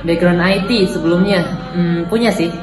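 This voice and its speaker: A man's voice: high voice, fairly even intonation, normal speed. Young man in echoey room with music. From Indonesia. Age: young adult. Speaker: college student giving a testimonial. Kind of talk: casual testimonial.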